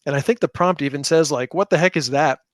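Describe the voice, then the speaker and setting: Man, higher-pitched voice, casual interview guest, self-deprecating, very dry close sound. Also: fast, no echo. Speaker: podcast guest, tabletop game designer.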